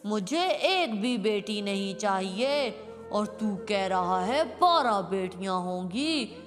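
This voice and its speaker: Female performer as a threatening cartoon husband bird, scolding and domineering, slow delivery in long reverb over music. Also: mid-range voice, lively intonation. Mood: furious. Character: angry, threatening husband bird who wants only sons. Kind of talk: Hindi cartoon moral-story voice acting.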